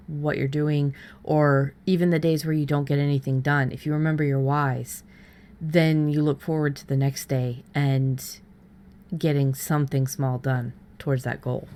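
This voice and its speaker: Low-voiced woman hosting a chatty podcast. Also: a little mellow. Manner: chatty, many restarts and "you know". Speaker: podcast host. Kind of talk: casual podcast chat.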